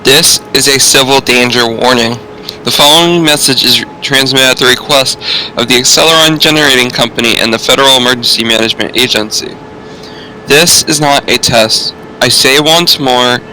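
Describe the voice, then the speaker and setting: A man's voice: mid-range voice, normal speed, sharp tone, a little hiss or hum, rough recording. A man reading a scripted civil danger warning. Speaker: emergency alert announcer.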